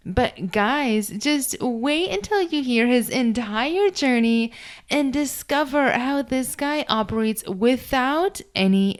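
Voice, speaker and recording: A woman's voice: fairly high pitch, clear, resonant tone. A woman hosting a podcast interview, speaking with enthusiastic, effusive praise. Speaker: podcast host.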